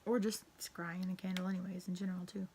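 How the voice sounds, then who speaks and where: A woman losing her voice, croaky, chatting casually and rambling with lots of fillers and swearing. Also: lowish voice, level tone, fast, dark tone, a little hiss or hum. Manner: profane, rambling, frequent fillers. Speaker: witchcraft vlogger. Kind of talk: casual tag-video chat.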